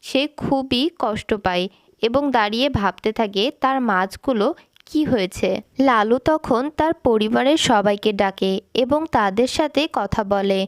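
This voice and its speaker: A woman narrates a cartoon fairy tale from a script. Her voice is fairly high, and the recording is dry, with no room sound. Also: dry recording. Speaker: story narrator.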